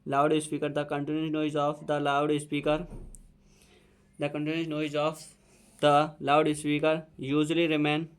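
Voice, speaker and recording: A man with an Indian accent teaching students, dictating a letter slowly phrase by phrase and mixing in explanations. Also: on the high side, monotone, clear, resonant tone. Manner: slow, repeating each phrase as he writes; mixes Hindi explanation with dictated English.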